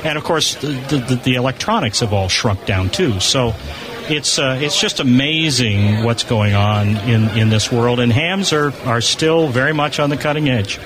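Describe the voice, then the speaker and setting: A man's voice: mid-range voice, moderate pace. A man being interviewed as a guest on a live show, explaining technical things informally from a convention floor booth. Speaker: amateur satellite enthusiast, show guest. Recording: busy crowd noise, live radio broadcast. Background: crowd chatter.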